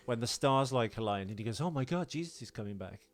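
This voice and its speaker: A man's voice: medium pitch, lively intonation, fast. A man with a British accent co-hosting a casual comedic podcast, rambling along in a jokey, self-deprecating way. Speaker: podcast co-host.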